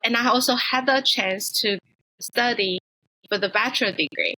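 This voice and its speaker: A woman from Taiwan talks as an interview guest, earnest and reflective, sometimes pausing to find the right word. Her voice is bright and a little high, and the sound is close and dry. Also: dry recording, rough recording. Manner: earnest, reflective, searching for words. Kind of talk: casual conversational interview.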